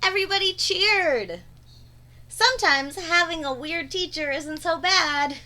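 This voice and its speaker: Female storybook reader, high bright voice, expressive and dramatic delivery for a young audience. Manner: animated, dramatic emphasis with drawn-out words. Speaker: teacher reading aloud to children. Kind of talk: expressive storybook read-aloud.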